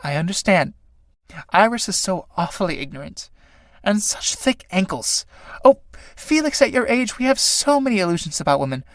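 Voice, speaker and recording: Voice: medium pitch, very animated, moderate pace, dry recording, voice pushed up. A reader voicing a vain, flighty female butterfly, gushing and affected, in a dramatized reading of a play.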